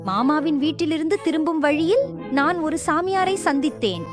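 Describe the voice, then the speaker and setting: A woman's voice: sits high, normal speed, music in the background, pitched above the performer's own voice, close to an everyday voice. A woman voicing a clever young village wife in a children's story, with emphatic delivery. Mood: cunning warning. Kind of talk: children's moral story dialogue.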